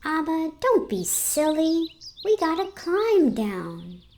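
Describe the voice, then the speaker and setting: A woman's voice: very high-pitched, sing-song, slow, a little mellow, a little hiss or hum, pitched above the performer's own voice, exaggerated voice. A woman voicing a nonchalant, playful young girl in a children's storybook, with a cheeky, breezy manner.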